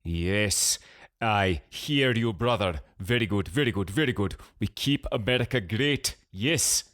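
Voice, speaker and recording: A man's voice: fairly low pitch, measured pace, fairly bright tone, pitched above the performer's own voice. A man voicing a prankster who fakes a thick, cartoonish Pakistani accent, agreeing repetitively and with mock earnestness in an audiobook story. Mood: mock approval. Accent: exaggerated Pakistani.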